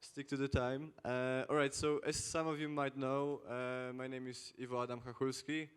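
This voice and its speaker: Clear-voiced young man. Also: mid-range voice, flat delivery, normal speed. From Poland. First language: Polish. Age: late teens. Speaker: panelist, student. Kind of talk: semi-formal panel introduction.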